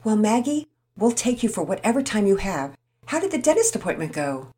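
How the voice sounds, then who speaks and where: A woman playing a gentle, humble mother. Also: medium pitch, sing-song, brisk, voice pushed up, close to an everyday voice. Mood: warm. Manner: gentle, homely.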